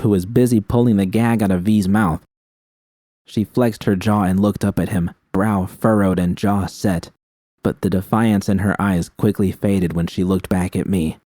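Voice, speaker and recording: A man's voice: lowish voice, warm tone, no echo, clean studio sound. A man reading scripted first-person dramatic fiction as an audiobook narrator.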